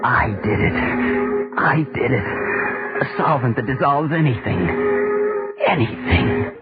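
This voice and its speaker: An actor playing an obsessed young student inventor in a 1940s radio drama, exultant and repeating himself feverishly. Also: male voice, medium pitch, animated, unhurried, natural voice. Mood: feverish triumph. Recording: tinny 1940s radio, loud music behind.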